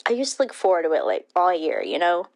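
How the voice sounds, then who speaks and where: A woman's voice: on the low side, very animated, fast. An actress playing a sincere, chatty teenage girl, an arcade regular, talking in slangy interview style. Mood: sincere, wistful. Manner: slangy, peppered with "like" and "you know". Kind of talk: interview in an audio drama.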